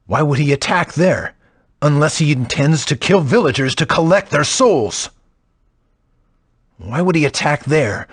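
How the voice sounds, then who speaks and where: Righteous game swordsman, stern, slightly high for a man, slow, dry studio sound. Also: lively intonation, husky, dry recording. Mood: questioning. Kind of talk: scripted game dialogue, studio outtakes.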